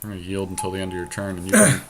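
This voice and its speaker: A man's voice: sits low, animated, very fast, slight background noise. A man chatting casually as a podcast host, thinking aloud through an online card game with his co-host.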